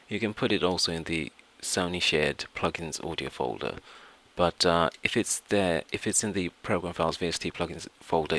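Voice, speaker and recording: A man presenting an informal software tutorial, explaining things step by step with measured asides. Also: low-pitched.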